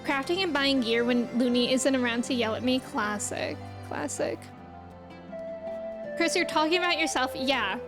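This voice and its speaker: A woman's voice: high-pitched, moderate pace, over music. A woman game streamer chatting casually with her viewers in a playful, teasing way. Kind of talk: casual livestream chat.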